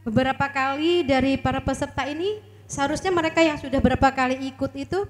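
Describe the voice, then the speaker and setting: Slightly hoarse woman judge, high voice. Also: fast. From Kutai Kartanegara, East Kalimantan. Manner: hesitant, many fillers, earnest advice. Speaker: tarsul competition judge. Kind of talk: casual live-event chat on a mic. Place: outdoor stage at an office yard event.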